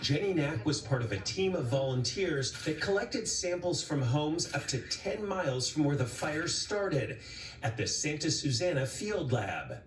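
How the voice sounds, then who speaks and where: A male TV news reporter reads a scripted investigative story with deliberate emphasis. His voice sits a little high. The recording is very roomy, with faint noise behind it. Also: very animated, recorded from a distance.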